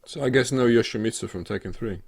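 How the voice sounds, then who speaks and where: A man's voice: medium pitch, fast talker, breathy edge. A man hosting a casual gaming podcast in unscripted chat, hesitant in his phrasing and dryly joking. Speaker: podcast host.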